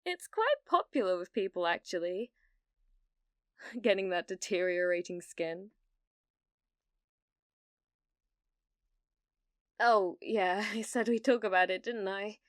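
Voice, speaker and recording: A British woman playing a needy, delusional young gamer in an ASMR roleplay, hesitant and stammering, blithely casual about dark things. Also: medium pitch, expressive, moderate pace, no room sound, clean studio sound, natural voice. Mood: brightly unhinged.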